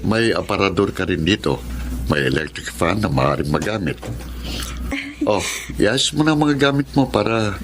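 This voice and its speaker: Low-voiced kindly male employer. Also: lively intonation, moderate pace, background noise. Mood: friendly. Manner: reassuring, easygoing. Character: kindly, trusting male shop-owner employer. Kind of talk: radio drama acting.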